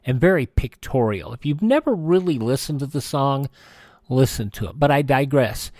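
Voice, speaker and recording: An older American man hosting a radio show, chatting casually through dates and birthdays with rambling, folksy asides and hesitant pauses. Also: mid-range voice, expressive, a little mellow, no room sound, clean studio sound. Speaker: radio host.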